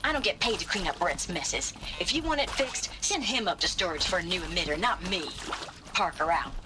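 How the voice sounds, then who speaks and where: Fed-up, lowish-voiced woman technician played by actress. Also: expressive, a little fast, over music, close to an everyday voice. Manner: curt, irritated, complaining. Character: exasperated woman technician leaving a recorded work log. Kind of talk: video game audio log acting.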